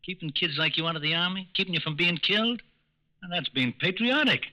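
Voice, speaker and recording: An actor playing a slick, brusque racketeer of about forty with slangy street talk in a 1940s radio crime drama. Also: male voice, sits high, animated, fairly quick, bright, forceful tone, full character voice. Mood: smooth, cocksure. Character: slick fortyish male racketeer selling draft-dodging pills. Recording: muffled 1940s radio recording.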